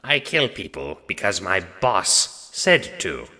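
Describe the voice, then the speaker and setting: A man's voice: deep voice, sing-song, slow, sharp tone, big-room sound, full character voice. A man voicing Death, a big, dim, blunt god with a slangy, casually profane way of talking, in an audio drama. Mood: indifferent. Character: Death, big lug god of double death, foul-mouthed, dim, blunt, bitter.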